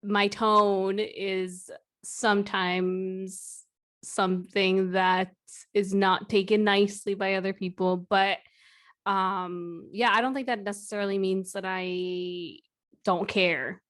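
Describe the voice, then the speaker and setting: A young woman co-hosting a podcast in casual chat, with a low voice and a quiet, reserved, chill way of talking. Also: level tone, takes it slowly. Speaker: podcast co-host.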